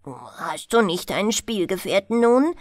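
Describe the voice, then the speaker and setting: A woman voicing a small, curious magical bird in a fairy tale, asking gentle questions. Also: mid-range voice, very animated, a little slow, dry recording, studio quality. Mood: inquiring.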